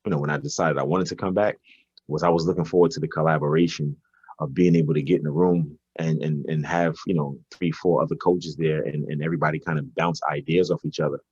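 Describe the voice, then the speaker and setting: A man's voice: rumbling low, fairly even intonation, fast, darkish tone, husky, no echo, muffled recording. A man, a basketball coach answering interview questions conversationally, hesitating and repeating words as he thinks. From Brooklyn, New York, United States. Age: 40s.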